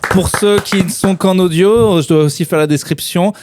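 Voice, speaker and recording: A man's voice: sits high, moderate pace, noisy background. A man co-hosting a comedy podcast in casual, joking chat. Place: podcast set, filmed.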